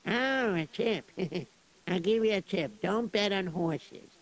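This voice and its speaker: A man's voice: high voice, takes it slowly. A man doing a stammering, bumbling servant. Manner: stammering, fumbling for words. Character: bumbling male servant bringing a message.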